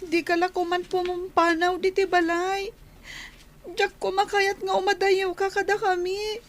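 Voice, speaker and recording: A woman's voice: very high-pitched, flat delivery, unhurried, soft background music. A woman voicing a sad young daughter who pleads plaintively in a radio drama. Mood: pleading, sad. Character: pleading young daughter, tearful little girl.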